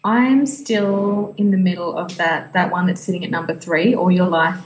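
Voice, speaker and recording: A woman's voice: on the low side, steady tone, moderate pace, roomy sound, low-quality recording. A woman co-hosting a podcast, chatting casually and off the cuff. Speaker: podcast co-host. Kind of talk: casual chat.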